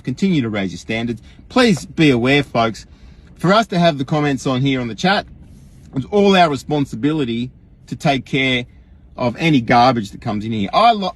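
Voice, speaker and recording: A man's voice: medium pitch, husky. An Australian man hosting a livestream, ranting casually and wryly to his followers as he addresses them directly. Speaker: livestream host.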